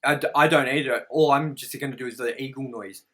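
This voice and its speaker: A man co-hosting a casual, comedic movie review, excitable and joking, with some swearing. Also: mid-range voice, fast. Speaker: movie review show host.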